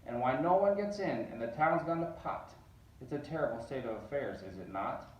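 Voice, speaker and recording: A man's voice: medium pitch, animated, fairly quick, close to an everyday voice. A man voicing a friendly but fretful doorman in a rhyming children's storybook. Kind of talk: children's storybook read-aloud. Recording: roomy, distant, dull sound.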